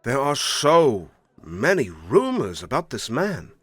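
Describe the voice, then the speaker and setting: A man playing a slow, imperious older king. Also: mid-range voice, huge pitch swings, breathy edge, dry recording, exaggerated voice. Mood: scornful. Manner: formal, weighty, deliberate delivery with dramatic emphasis. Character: stern, imperious older king lecturing his daughter. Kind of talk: audio roleplay drama acting.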